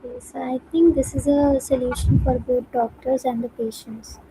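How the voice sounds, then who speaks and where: A woman mentor on a video call asking brief, measured questions. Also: high voice, fairly even intonation, warm tone, a little hiss or hum, rough recording.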